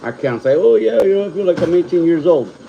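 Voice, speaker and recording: A man in his fifties from California hosting a casual livestream from home, reading out viewer comments and names, thanking people warmly and slipping in Spanish phrases. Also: sits high, lively intonation, creaky, highs cut off, very noisy. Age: 50s. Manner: warm, thankful, reads out viewer names slowly; mixes Spanish phrases into English. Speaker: livestream host.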